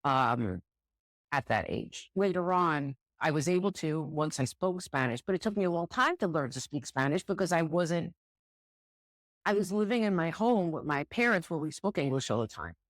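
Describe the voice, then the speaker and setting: A woman's voice: sits low, lively intonation, moderate pace, dry recording, clean studio sound. A middle-aged woman speaking candidly as an interview guest, thoughtful and repeating words as she searches for them. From United States. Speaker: guest, director of student housing. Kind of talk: relaxed interview conversation.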